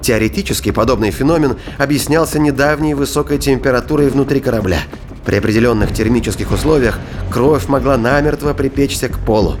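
A man's voice: mid-range voice, fairly quick. A man reading scripted narration for a science-fiction thriller audio drama. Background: music.

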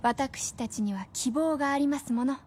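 An actress playing a gravely ill, devoted wife in a radio drama, serene yet eager, with genteel old-fashioned polite speech. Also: female voice, high-pitched, moderate pace, a little mellow, slight background noise, lightly acted. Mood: serene, resolved.

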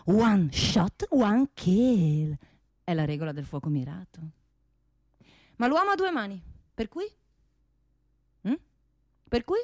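A woman's voice: on the high side, a little mellow, no room sound, clean studio sound. A woman playing a seductive, self-admiring female pistol in a theatrical radio play, proud and teasing.

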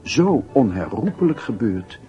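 A man's voice: medium pitch, very animated, a little slow, dark tone, dull audio, faint music behind. A man narrating a literary radio drama in a solemn, introspective, dramatic manner. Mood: intense, wistful. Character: solemn storyteller of a lovesick youth's inner life.

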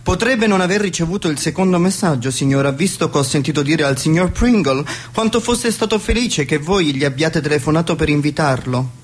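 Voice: mid-range voice, close to an everyday voice. A performer playing a dutiful male butler in a 1920s radio comedy, formal and deferential in manner. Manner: formal, deferential, measured announcements. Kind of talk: radio play acting of a 1920s stage comedy.

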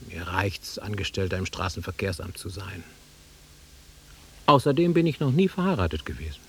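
A nostalgic middle-aged man in a radio play, with a low, husky voice that swings widely in pitch. He is insistent and touchy, dwelling wistfully on what he holds dear. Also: lively intonation, moderate pace. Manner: wistful, insistent, easily offended. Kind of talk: naturalistic radio drama acting.

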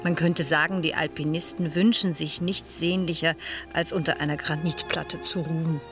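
A thoughtful, dry schoolmistress in her sixties from a vintage radio drama acted by a woman. Her voice is very low and measured, with faint music behind it. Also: steady tone, natural voice. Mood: reflective. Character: reserved, reflective retired French schoolmistress at a spa. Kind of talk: 1970s German radio play acting.

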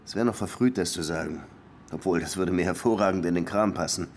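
Deep sardonic cop, blunt and wry, radio play, bit of background noise. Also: male voice, quick pace, creaky. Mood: wry, musing. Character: blunt, sardonic male Cuban police lieutenant. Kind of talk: radio drama acting.

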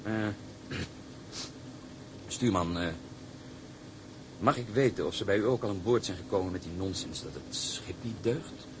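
An actor playing an eager, deferential young ship's engineer in a Dutch radio drama, hesitant and apologetic. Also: male voice, sits low, a little slow, long pauses, background noise. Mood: nervous, gossipy.

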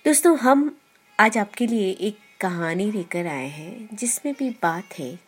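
A woman podcast host reading a short story in a measured, deliberate storyteller's delivery. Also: mid-range voice, animated, breathy edge. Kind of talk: scripted storytelling narration. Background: background music.

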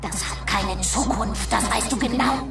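An actress voicing personified money as a demanding, scornful inner voice with an echo effect in a radio drama. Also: female voice, a little high, measured pace, creaky. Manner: commanding, dismissive. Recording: echoing, loud music behind.

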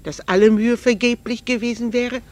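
An actress in a German radio drama playing a proud, candid, melancholy married woman, speaking in a measured, formal and earnest way. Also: a little high, steady tone, close to an everyday voice. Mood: anguished, earnest.

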